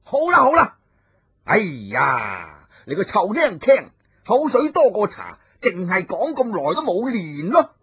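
A man's voice: high voice, huge pitch swings, unhurried, pitched above the performer's own voice, full character voice. A man voicing a hot-tempered brutish male martial-arts villain who blusters and hurls insults. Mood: impatient. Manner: gruff, blustering, shouting insults. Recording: dull lo-fi recording.

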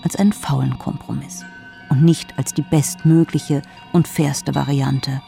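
Creaky-voiced woman narrating over music. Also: very deep voice, fairly even intonation, unhurried, warm tone. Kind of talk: scripted essayistic narration.

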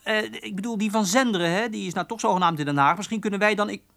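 An eager young office junior in a radio play. His voice is high for a man, and he talks in a cheeky, chatty way, stumbling into small hesitations. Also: racing, gravelly, fairly bright tone. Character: cheeky eager young male office errand boy named Jeroen. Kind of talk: radio drama acting.

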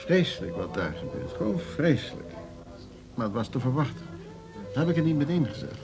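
A pessimistic, muttering man in a radio drama. His voice is gravelly and creaky, with animated rises and falls. Music is prominent behind him. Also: medium pitch, brisk, rough recording. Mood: indignant. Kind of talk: Dutch radio drama acting.

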